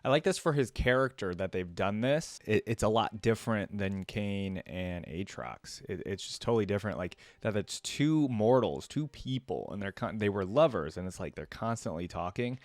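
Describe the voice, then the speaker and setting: A man's voice: on the low side, animated, normal speed, few pauses, no room sound. A young man giving casual reaction commentary, thinking aloud and repeating lines he has just heard. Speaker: video reactor.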